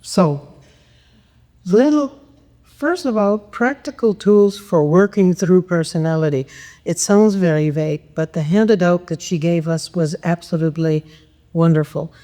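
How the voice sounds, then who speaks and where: A woman from Canada chattily reporting on a workshop at a conference, with a loud, enthusiastic voice. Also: lowish voice, unhurried. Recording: long hall reverb.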